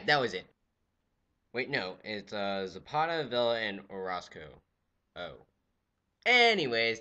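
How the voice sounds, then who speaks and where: A teenage boy giving a goofy, jokey history lecture, swinging from loud exclamations to quiet asides. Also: medium pitch. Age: teens. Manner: goofy, animated, jumps between loud exclamations and mutters. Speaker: student presenter. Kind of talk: comedic lecture with skits.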